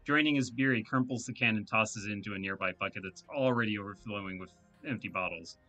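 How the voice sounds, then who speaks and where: A man reading a video game's text aloud in an expressive way, adding wry asides. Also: lowish voice, fast talker, dull audio, soft background music. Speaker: let's play narrator.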